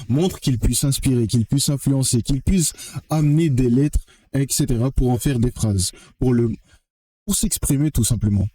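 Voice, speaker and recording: A young man from French Guiana speaking straight to the camera in a blunt, heated monologue. His voice sits at an ordinary pitch with a dark, mellow tone. The sound is close and dry, and he keeps repeating phrases for emphasis and throwing in curses. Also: no room sound. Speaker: vlogger.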